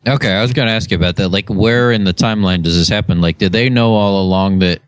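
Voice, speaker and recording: A man's voice: on the low side, fast talker, clean tone, dry recording. A man co-hosting a podcast in casual, bantering chat. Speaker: podcast co-host.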